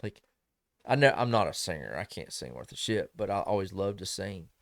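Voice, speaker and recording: Low-voiced laid-back podcast host. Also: male voice, very animated, a little fast, dry recording, studio quality. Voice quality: slightly raspy. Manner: laid-back, laughs often, rambling. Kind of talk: casual chat.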